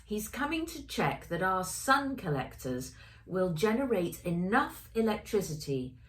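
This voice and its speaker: A woman's voice: mid-range voice, sing-song, unhurried, rough recording. A woman voicing a kindly, fretful old grandpa in a children's storybook, fussing and caring. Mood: bossy, proud.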